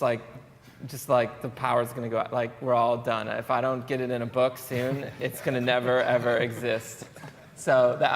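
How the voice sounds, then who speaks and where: A man, an artist, answering onstage questions in a halting, trailing way full of fillers. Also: medium pitch. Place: conference hall stage. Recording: long hall reverb.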